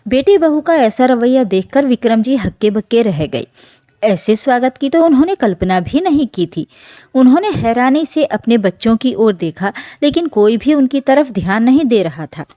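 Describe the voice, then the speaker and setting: Female narrator for emotional family tales: higher-pitched, very animated intonation, soft mellow tone, scripted and dramatic. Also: moderate pace, no echo. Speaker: story narrator.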